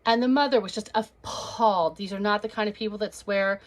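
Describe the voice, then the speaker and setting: A woman's voice: mid-range voice, a little fast. A woman performing a stand-up comedy set to a webcam, telling stories and acting out the people in them. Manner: storytelling, acts out quoted voices.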